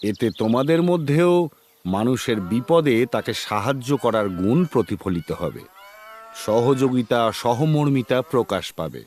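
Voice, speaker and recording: An actor voicing a strict older teacher in a cartoon, speaking sternly in a measured, lecturing manner. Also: male voice, mid-range voice, lively intonation, darkish tone, a little hiss or hum, close to an everyday voice. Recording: music playing behind the voice.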